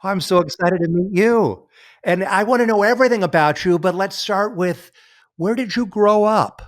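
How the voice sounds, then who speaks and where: A man's voice: sits high, moderate pace. Upbeat man hosting a podcast. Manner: upbeat, promotional, eager. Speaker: podcast host.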